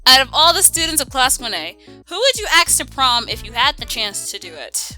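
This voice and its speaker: A young woman with a very bright, forward voice pitched a bit high. She hosts casual question-and-answer banter with playful, dramatic reactions, and music plays underneath. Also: very animated, a little fast, poor audio. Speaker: video host reading and answering fan questions. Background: background music.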